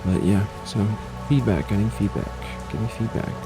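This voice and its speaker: A man's voice: on the low side, expressive, moderate pace. A man chatting unscripted to viewers as a channel creator, hesitant, rambling and self-critical. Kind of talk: unscripted casual chat to viewers. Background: music.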